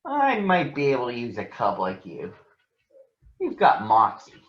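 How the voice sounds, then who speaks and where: A man's voice: mid-range voice, huge pitch swings, measured pace. A man voicing a big swaggering bully bear in a storybook, with a mocking, taunting delivery. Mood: cocky, coaxing. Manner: taunting, sneering. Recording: roomy echo, dull lo-fi sound.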